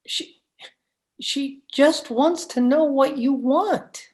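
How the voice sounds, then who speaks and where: A woman's voice: high voice, very slow, darkish tone. A woman playing a timid, henpecked husband who stammers and hesitates, in old time radio horror style. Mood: frightened. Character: timid, henpecked, frightened husband, a meek little man. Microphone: video call.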